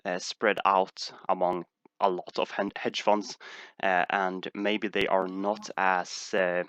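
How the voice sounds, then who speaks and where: Low bright-toned man with a Swedish accent. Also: flat delivery, takes it slowly, clean tone, dry recording, muffled recording. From Sweden. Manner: hesitant, many "uh" fillers and restarts. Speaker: stock market streamer. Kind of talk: casual livestream Q&A.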